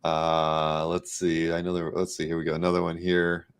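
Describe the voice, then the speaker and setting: Male host, low register with heavy vocal fry, relaxed conversational interviewer offering appreciative commentary. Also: normal speed. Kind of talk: casual chat, appreciative commentary.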